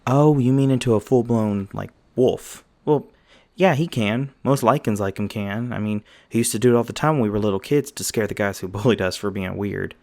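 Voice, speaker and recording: A man's voice: on the low side, a little fast, darkish tone, close to an everyday voice. A man voicing a loyal young mage on lookout in an ASMR roleplay, talking in a casual, wry, reassuring way. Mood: chatty, nostalgic. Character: loyal, chatty young mage lookout, protective old friend.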